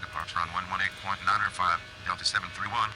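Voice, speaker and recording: A man's voice: deep voice, fairly even intonation, fast. A man voicing an airline pilot in a game, giving clipped, routine radio calls over background noise. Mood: routine, businesslike. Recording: noisy game radio audio.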